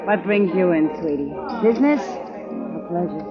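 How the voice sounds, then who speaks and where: An actress playing a flirtatious, world-weary saloon hostess in a 1950s radio western, teasing and suggestive. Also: female voice, lowish voice, animated, a little slow, over a noisy crowd. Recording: dull vintage radio recording, loud music behind.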